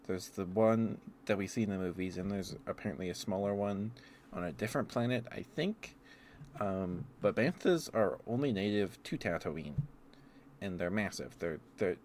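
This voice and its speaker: A man's voice: deep voice, darkish tone, a little hiss or hum. A man chatting in a meandering, casual way as he hosts an ASMR livestream. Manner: meandering, often chuckling to himself. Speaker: ASMR streamer, host. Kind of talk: rambling ASMR livestream chat.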